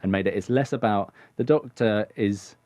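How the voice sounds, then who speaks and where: A man co-hosting a podcast in casual chat, inquisitive and brisk. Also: lowish voice, warm tone, dry recording. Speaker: podcast co-host.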